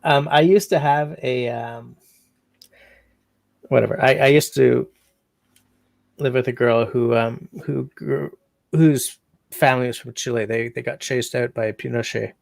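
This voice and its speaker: A man's voice: mid-range voice, fairly even intonation, a little slow, vocal fry, pauses often, no echo. A man hosting a casual livestream, meandering as he reads out chat comments. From Canada. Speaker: livestream host.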